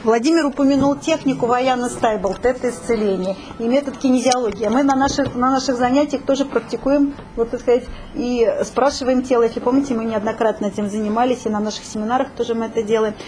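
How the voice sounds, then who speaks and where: Brisk woman leading a group session. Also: fairly high pitch, fairly even intonation, mellow, a little hiss or hum, poor audio. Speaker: group leader.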